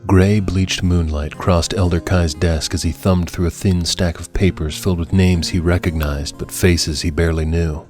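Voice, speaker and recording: Low-voiced man narrating dramatic fiction over music. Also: fairly even intonation, moderate pace, few pauses, darkish tone.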